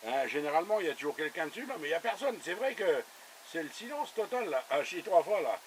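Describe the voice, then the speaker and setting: A man's voice: a little high, sing-song, fast talker, a little hiss or hum, low-quality recording. A man chatting casually as an amateur radio operator, in a colloquial, easygoing way. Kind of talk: casual radio contact chat. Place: radio station with a camera running.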